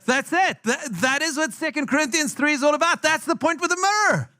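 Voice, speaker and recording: A man's voice: very high voice, brisk, sharp tone. A South African man with an accent that has picked up some American, preaching a conversational sermon to a congregation, asking them questions and repeating phrases for emphasis. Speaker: pastor. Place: church hall with a live congregation.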